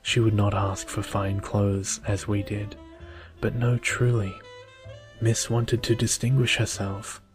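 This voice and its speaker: A spiteful, haughty storybook sister, read by a man in a low voice. She is envious and scornful in formal phrasing, delivered very evenly over faint music. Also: level tone, takes it slowly.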